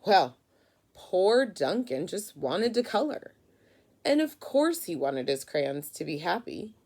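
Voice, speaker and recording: Low, creaky-voiced woman teacher reading aloud. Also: very animated, slow pace, a little mellow. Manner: instructive, questioning. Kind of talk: children's read-aloud lesson.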